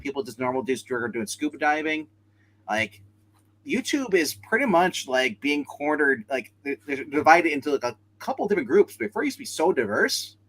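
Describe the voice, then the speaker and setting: A man with a bright, forward voice that sits a little above average in pitch. He co-hosts a livestream and talks informally, rambling from one thought to the next as if among friends. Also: very animated, brisk, rough recording. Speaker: livestream co-host. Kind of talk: casual livestream chat about pop culture.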